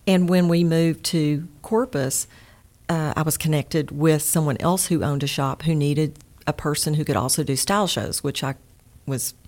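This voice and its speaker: A woman's voice: deep voice, moderate pace, a little mellow. A middle-aged woman with an East Texas accent, chatting casually as an interview guest. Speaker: interview guest, home warranty sales manager. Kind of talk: casual conversational interview answers.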